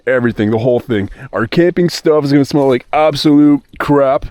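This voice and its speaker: A young man vlogging outdoors at a dump site, disgusted and exasperated, cursing casually while his voice goes hoarse. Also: mid-range voice, fairly quick, darkish tone, no room sound, clean studio sound. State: voice going hoarse. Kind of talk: casual vlog commentary. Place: outdoors at a remote dumping spot.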